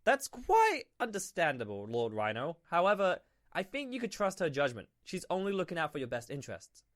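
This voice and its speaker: A man's voice: a little high, huge pitch swings, a little fast, fairly bright tone. A man playing an obsequious, nervous Imperial officer in a Minecraft roleplay, flustered and over-polite. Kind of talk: hobby Minecraft roleplay acting.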